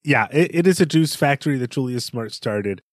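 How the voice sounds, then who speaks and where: A man's voice: medium pitch, animated, moderate pace, no echo, clean studio sound. A man co-hosting a podcast, analysing a book reflectively and restating his points as he goes. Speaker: podcast co-host.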